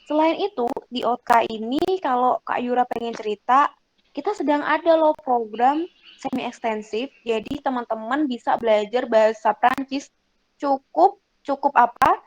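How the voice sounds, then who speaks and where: A woman, moderating an online class and chatting upbeat to students about a course. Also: poor audio. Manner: chatty, upbeat sales pitch. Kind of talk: casual promotional chat to students.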